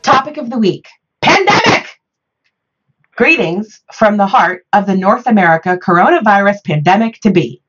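A woman's voice: mid-range voice, very animated, normal speed, heavy background noise. A woman presenting a scripted topical monologue on a podcast, recorded in dull lo-fi audio.

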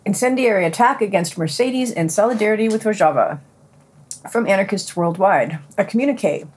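A woman presenting a podcast, reading scripted news and quotations in a deliberate way with a husky voice. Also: medium pitch, expressive. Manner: deliberate, announces quotations and their ends.

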